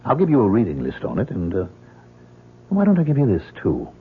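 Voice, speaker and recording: An actor playing a suave, secretly sinister professor of the occult in a 1970s radio drama, speaking in a measured, conspiratorial way. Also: male voice, fairly low pitch, wildly swooping pitch, slightly rough, exaggerated voice. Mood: genial, measured. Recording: muffled vintage 1970s radio recording.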